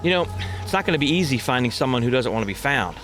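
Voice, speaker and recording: A man's voice: mid-range voice, expressive, rapid-fire, fairly bright tone, a little hiss or hum, natural voice. A man playing a practical, easygoing travelling companion in an audio drama, matter-of-fact in tone.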